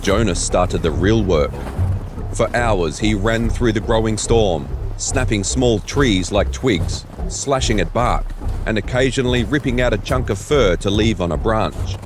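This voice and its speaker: Low-voiced man narrating an audio drama. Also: animated, measured pace, over music.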